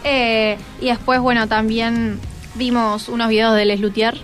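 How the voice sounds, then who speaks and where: A woman's voice: on the high side, little pitch movement, measured pace, soft background music. A teenage female student with an Argentine accent, casually explaining as a radio guest. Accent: Argentine, Rioplatense. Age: teens.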